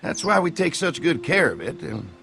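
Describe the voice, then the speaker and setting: An actor playing a folksy, friendly young farmer with a drawling Southern American accent in a video game. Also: male voice, a little high, very animated, normal speed, creaky. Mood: wistful.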